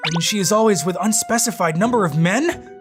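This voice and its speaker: A woman's voice: mid-range voice, fairly quick, natural voice. A woman voicing a flustered young male office worker in a manga dub, exclaiming and muttering his inner thoughts. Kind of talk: manga dub narration and acting. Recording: faint background music.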